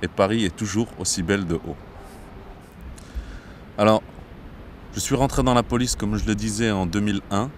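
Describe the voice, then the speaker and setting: A man in his thirties from Morocco, a border police captain, speaking in a measured interview with frequent hesitations. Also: fairly low pitch, slight background noise. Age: 30s. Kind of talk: interview monologue. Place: outdoors near traffic.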